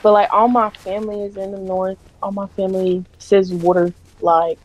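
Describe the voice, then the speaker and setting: A young woman from South Carolina talking over a game voice call, her voice sitting at an ordinary middle pitch. She sounds relaxed and laid-back, keeping her answers short and a little guarded. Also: moderate pace, dull audio, a little hiss or hum. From South Carolina, USA. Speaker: guest gamer. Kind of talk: casual chat over voice call.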